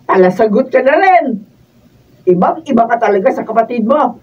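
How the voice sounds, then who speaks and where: Husky, nagging elderly mother-in-law. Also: on the high side, animated, moderate pace. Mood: indignant. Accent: Filipino. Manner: nagging, indignant, scolding. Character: sour, fault-finding elderly mother-in-law. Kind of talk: Filipino radio drama acting. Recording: distant mic, dull sound.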